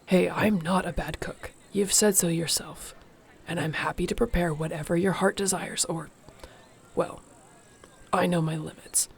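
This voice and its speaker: A woman playing a protective, devoted orc warrior girlfriend in an intimate audio roleplay, speaking softly, breathily and earnestly. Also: on the low side, steady tone, measured pace, vocal fry. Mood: caring, firm.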